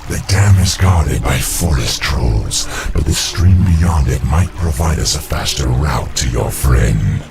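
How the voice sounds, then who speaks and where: A man voicing a sinister, servile serpentine naga minion in a fantasy game, gravelly and monstrous with a creature filter. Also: rumbling low, expressive, slow pace, hardly any pauses, music in the background, full character voice. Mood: servile, ominous. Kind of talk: dramatic fantasy game voice acting.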